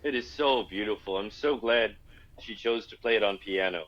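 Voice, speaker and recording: A man with a low voice, a musician guest, answering in a relaxed, thoughtful, halting way. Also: muffled recording. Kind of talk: casual interview chat.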